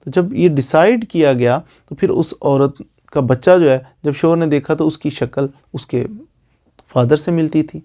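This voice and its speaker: A man's voice: a little high, moderate pace, warm tone. A man with a Pakistani accent giving an informal explanatory lecture with moral advice, repeating phrases for emphasis and posing rhetorical questions. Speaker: lawyer giving legal advice.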